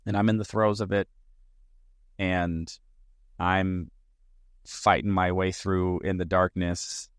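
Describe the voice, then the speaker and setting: A man's voice: low voice, little pitch movement, slow pace, pauses often, clean tone, dry recording, studio quality. A man hosting his own comedy podcast, talking alone in an earnest, reflective way and stringing his thoughts into long lists. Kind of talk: solo podcast monologue. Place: lives in Arizona.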